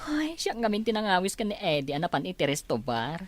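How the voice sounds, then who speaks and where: A woman's voice: on the low side, very animated, fairly quick. A woman acting as an anxious, exclaiming friend fretting over a crisis in an Ilocano audio drama. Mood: worried, distressed.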